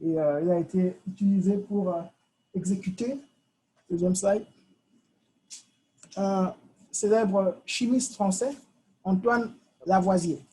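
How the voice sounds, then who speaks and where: A man's voice: high voice, fairly even intonation, slow pace, long pauses, dark tone, rough recording. A man from Cameroon, giving a short, informal club speech with slides and many hesitations. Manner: informal, hesitant with frequent fillers. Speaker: club member giving the thought of the day. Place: meeting in front of an audience.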